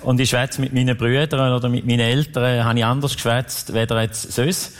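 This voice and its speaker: A man's voice: medium pitch, level tone, a little fast. A middle-aged man from Appenzell speaking Swiss German dialect, a former police spokesman, easygoing and humorous. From Appenzell, Switzerland. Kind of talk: casual interview talk.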